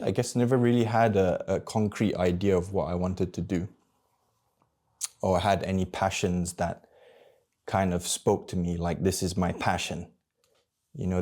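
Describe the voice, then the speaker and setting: A man in his thirties from Malaysia, talking candidly to camera about his own life, halting and searching for words as he goes. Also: sits low, gravelly, warm tone. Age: 30s. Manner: candid and introspective. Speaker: vlogger. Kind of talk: personal reflective monologue.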